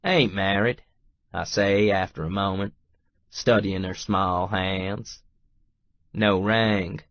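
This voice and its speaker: A man's voice: low voice, level tone, slow, no echo, lo-fi sound. A man reading a first-person novel aloud as an audiobook narrator.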